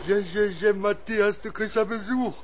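Old salt of a children's radio play male actor: jolly, exclamatory, pitched high for a man. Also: steady tone, normal speed, a little hiss or hum, lightly acted. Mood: surprised, delighted. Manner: exclamatory, folksy seafarer talk to himself.

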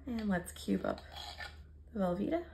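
Creaky-voiced American woman, casual cook. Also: mid-range voice, lively intonation, moderate pace, warm tone, slight background noise. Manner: chatty, informal step-by-step explaining. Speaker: home cook vlogger. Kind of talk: casual cooking narration. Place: home kitchen, indoors.

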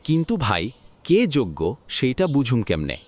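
A man voices an earnest cartoon village voter with a rural Bangladeshi accent. He is respectful and emphatic, his pitch moving a lot, over faint noise. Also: wildly swooping pitch, moderate pace, a little mellow. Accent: rural Bangladeshi Bengali. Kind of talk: cartoon voice acting.

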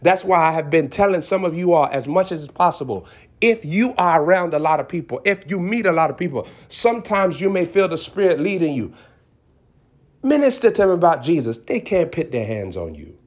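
A man's voice: on the high side, lively intonation, a little fast. A man preaching as a self-styled prophet in an impassioned exhortation, emphatic and repeating short phrases for effect.

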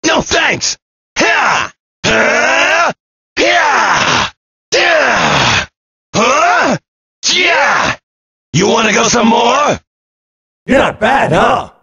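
A high, raspy fused warrior hurls taunts and battle cries at full shout. His bright, strained voice leaps up and down in lively swings, each line bitten off dry and close to the mic. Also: extremely slow, pauses often, dry recording, heavily acted. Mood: cocky taunting. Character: cocky fused male warrior, young adult. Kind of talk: video game voice clips. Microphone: studio recording.

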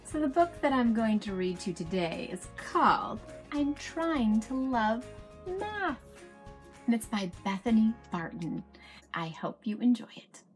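A woman in her thirties giving an expressive picture-book read-aloud, animatedly addressing children with questions and asides. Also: a little high, a little slow, soft background music.

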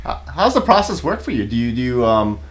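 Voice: mid-range voice, sing-song, a little fast. An interview host asking questions hesitantly, often restarting. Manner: hesitant, many fillers and restarts.

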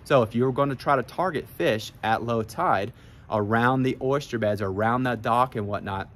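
A man's voice: medium pitch, normal speed, slight background noise. Man giving casual fishing tips outdoors. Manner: relaxed, explains step by step with many fillers. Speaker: fishing guide. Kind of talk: casual instructional vlog. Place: outdoors by a backyard pool.

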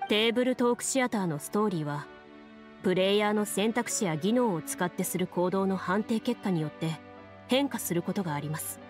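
A composed young woman game master played by an actress in an anime game. Her delivery is calm and formal, with deliberate explanations and storytelling at a middle pitch, over music. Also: close to an everyday voice. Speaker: game master narrating a tabletop game. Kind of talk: anime video game acting, storytelling narration. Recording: music under the voice.

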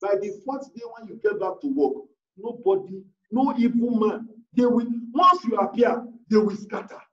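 A male pastor preaches and prays with a very high-pitched voice. He makes emphatic, repeated declarations. The video-call sound is distant and muffled. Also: animated, moderate pace, a bit bright, echoey room. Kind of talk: sermon and forceful prayer declarations on video call. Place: indoors, online video call. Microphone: video call device mic.

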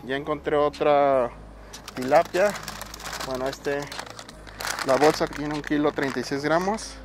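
A man's voice: on the high side, a little slow, noisy background. Mexican man chatting prices while shopping. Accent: Mexican Spanish. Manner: chatty, counting out prices aloud as he shops. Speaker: bodybuilder vlogger. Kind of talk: casual vlog, showing his weekly grocery shopping. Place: supermarket aisles, car and shops.

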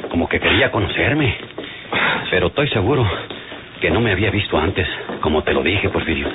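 An actor playing a bandit's eager, excitable sidekick with a rural northern Mexican accent in an old radio drama. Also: male voice, low-pitched, brisk, background noise. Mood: admiring, relieved. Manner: agreeable, excitable. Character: eager, admiring male fugitive sidekick of a bandit. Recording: tinny old radio recording with loud music.

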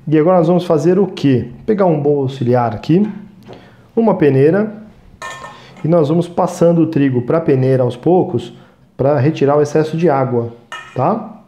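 A man in his thirties with a Brazilian Portuguese accent, hosting a cooking show and chatting to viewers as he works, often checking in with a quick "right?". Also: on the high side, mellow, roomy sound. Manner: chatty, talks to viewers as "gente", frequent "tá?" checks. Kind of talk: cooking demonstration. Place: small studio kitchen set.

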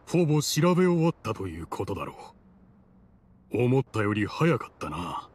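A man's voice: fairly low pitch, big pitch swings, normal speed, a little mellow, slightly rough. An actor playing a weary, remorseful old man in a Japanese game, speaking in a reflective, resigned manner. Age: older.

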